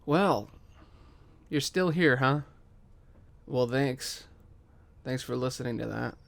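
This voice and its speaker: A man reading a scripted story aloud as narrator, with expressive delivery. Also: mid-range voice, slow, vocal fry. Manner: expressive, acts out every character. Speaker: podcast host and writer.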